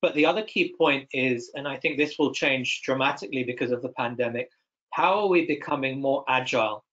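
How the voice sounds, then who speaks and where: A man's voice: medium pitch, a little fast, a bit bright. A man giving considered answers as an executive panelist on a video call. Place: indoor room, on a video call. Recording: dull video-call audio.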